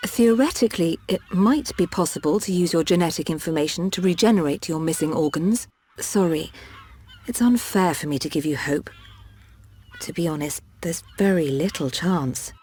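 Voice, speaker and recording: Husky, grave, caring healer. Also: female voice, on the low side, lively intonation, moderate pace, a little mellow. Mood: regretful. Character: caring, grave female healer and mechanic, candid adviser. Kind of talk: dramatic video game voice acting.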